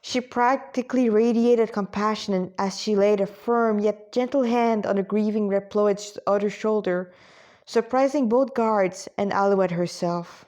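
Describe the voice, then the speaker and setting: A woman's voice: mid-range voice, fairly even intonation, a little slow, a little mellow. A woman reading a story aloud as an amateur narrator, informal, with stumbles, self-corrections and casual asides. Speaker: amateur storyteller reading own fan fiction.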